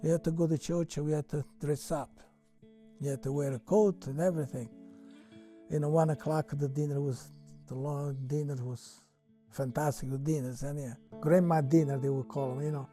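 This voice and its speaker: An older Italian-accented man tells his story in halting, broken English, full of fillers and restarts. His voice is deep, dark and mellow, and the pitch sits a little high for a man. Soft music plays faintly beneath him. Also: steady tone. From Italy. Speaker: interviewee. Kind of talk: reminiscing in an interview.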